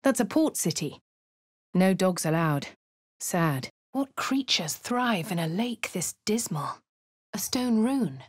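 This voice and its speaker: An actress with a posh English accent playing a composed, wry female adventurer in measured narration. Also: mid-range voice, sing-song, breathy edge, dry recording, clean studio sound, exaggerated voice. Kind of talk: game character voice lines.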